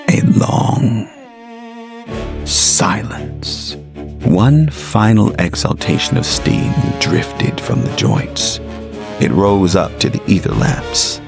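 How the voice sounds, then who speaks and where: Gravelly male horror narrator, slow and low. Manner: measured, suspenseful. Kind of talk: scripted dramatic horror story narration.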